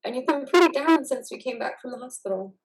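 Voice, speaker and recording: A woman playing a gentle, affectionate, supportive wife in an amateur play reading. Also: high-pitched, lively intonation, fast, far from the mic, low-quality recording. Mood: tender, coaxing. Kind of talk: amateur reader's theater over video.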